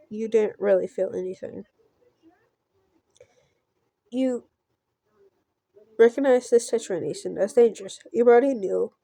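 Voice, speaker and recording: Female gameplay host, slower-paced and on the mellow side, casual narration with stumbles and asides. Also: medium pitch, creaky, no room sound. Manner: halting, stumbling read-aloud with offhand reactions. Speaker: gameplay host reading a visual novel. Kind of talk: casual let's play with read-aloud narration.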